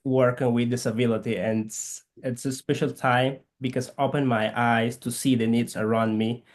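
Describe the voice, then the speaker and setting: Guatemalan guy, k'iche' first language, halting english, keeps hunting for words. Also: mid-range voice, flat delivery. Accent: Guatemalan Spanish. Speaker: guest, physical therapist missionary. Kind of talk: conversational interview.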